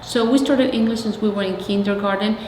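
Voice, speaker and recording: A woman's voice: mid-range voice, steady tone, brisk, darkish tone. Mexican-accented woman in an echoey room. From Mexico City, Mexico. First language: Spanish. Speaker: interviewee, arts nonprofit representative. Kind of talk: oral history interview, explanatory. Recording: echoey reverberant room, faint noise.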